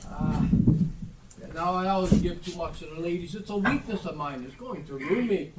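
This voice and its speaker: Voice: medium pitch, moderate pace, lightly acted. A performer playing a sly, wheedling old rag dealer of nearly seventy in an amateur Dickens stage play. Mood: grudging, haggling. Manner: wheedling, mock-hospitable, haggling. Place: theatre stage, live performance. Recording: live stage recording, distant and noisy.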